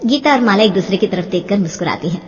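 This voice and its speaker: A woman's voice: mid-range voice, expressive, fast talker, muffled recording, soft background music. A woman narrator reading a scripted story for children. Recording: long hall-like reverb.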